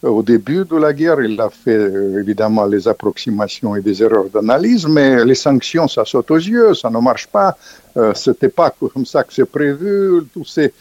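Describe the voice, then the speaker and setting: An older man being interviewed as an author, speaking emphatically with many restarts and sharp pitch rises on key points. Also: animated, normal speed, no echo, dull audio. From Ukrainian background. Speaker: author guest. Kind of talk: interview, political commentary.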